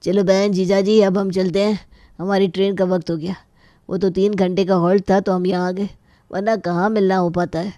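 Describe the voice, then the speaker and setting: Woman doing a mellow middle-aged male relative. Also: medium pitch, little pitch movement, normal speed, no room sound, lo-fi sound, lightly acted. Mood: coaxing. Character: middle-aged male relative, particular, food-loving family elder.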